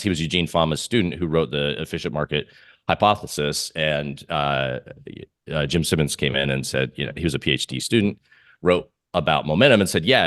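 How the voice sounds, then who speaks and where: Male interview guest, extremely low voice, conversational and halting, dry recording. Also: fairly bright tone, no echo. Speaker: trader guest being interviewed. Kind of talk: casual interview talk on markets.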